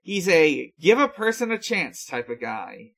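A podcast co-host casually relaying news in a hesitant, halting way. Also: mid-range voice, very animated, vocal fry, fairly bright tone.

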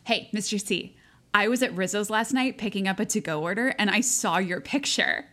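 An actress playing a polite, ingratiating high school senior, an American girl, in a naturalistic audio drama. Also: moderate pace, a bit bright, natural voice.

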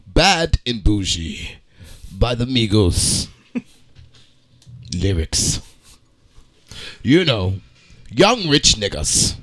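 A man co-hosting a podcast, giving a profane, slang-heavy mock-dramatic reading with theatrical pauses. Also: lowish voice, big pitch swings, fairly bright tone, dry recording. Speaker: podcast co-host.

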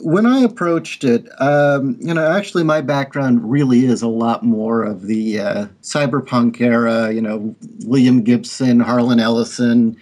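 A man's voice: medium pitch, measured pace, few pauses, a little mellow. A middle-aged man, a writer and artist, talking reflectively and a bit ramblingly as a guest in a casual panel chat.

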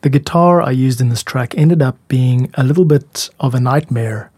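A man hosting a podcast about making music, explaining things in a measured, candid, self-critical way. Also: mid-range voice, warm tone, breathy edge, dry recording, clean studio sound. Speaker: music podcast host and composer.

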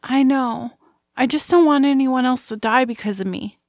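Orphaned little girl, played by a woman: high, sweetly earnest, gentle, for a family fantasy audio drama. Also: normal speed, no room sound, clean studio sound. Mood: sad pleading. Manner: earnest, pleading.

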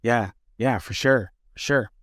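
Man, interview host, medium pitch, halting conversational phrasing, dry recording. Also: fairly bright tone, no echo.

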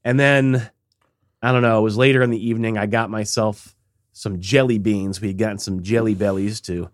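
A man co-hosting a casual podcast chat, congested and tired, talking in a rambling, halting way with many fillers. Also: on the low side, steady tone, dry recording, studio quality. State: congested, recovering from illness. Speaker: podcast co-host.

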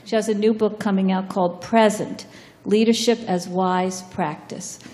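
A woman dean introducing a panel and talking about her program, pausing often on "um". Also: mid-range voice, steady tone, warm tone, long reverb tail. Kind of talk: panel introduction and short talk. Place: large lecture room.

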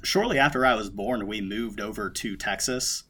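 Easygoing young man, low bright voice. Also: moderate pace, breathy edge. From United States. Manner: relaxed, rambling with many fillers and restarts. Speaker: podcast guest. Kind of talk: casual conversation.

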